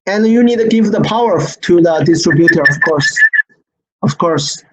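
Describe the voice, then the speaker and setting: A man who speaks English as a second language presents an online product training, repeating phrases haltingly and often checking with "right?". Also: sits high, animated. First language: not English. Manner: repetitive, halting phrasing with frequent "right?" tags. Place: screen-share online session. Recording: dull lo-fi online webinar audio.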